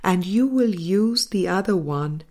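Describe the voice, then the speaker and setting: A woman narrating a spiritual audiobook from a script, in measured, deliberate phrases with frequent pauses. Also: medium pitch, expressive, darkish tone. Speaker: audiobook reader.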